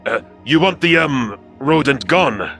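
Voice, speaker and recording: A man acts out a meek reptilian alien underling in a sci-fi audio drama. The voice is bright and forceful, with an earnest, literal-minded way of talking. Music runs behind it. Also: medium pitch, animated, measured pace. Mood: confused.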